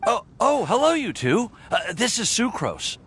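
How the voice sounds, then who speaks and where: A man's voice: high voice, measured pace, husky, full character voice. A man playing an affable, awkward young alchemist in a video game, chatty and easily flustered. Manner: hesitant, friendly, animated. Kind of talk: video game character acting. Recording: music playing behind the voice.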